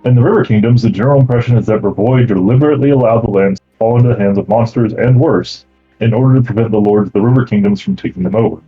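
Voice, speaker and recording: A man acting as game master, reading out campaign lore and then explaining the travel rules in a relaxed way with many fillers. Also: fairly low pitch, rapid-fire, husky, dull audio, soft background music.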